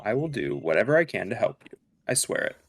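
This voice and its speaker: A man's voice: fairly low pitch, sing-song, fast talker, slightly rough, heavily acted. Cold, condescending android, acted by a man. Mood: calm, persuasive. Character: cold, calculating male android, damaged and condescending. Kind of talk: dramatic table read of a film script.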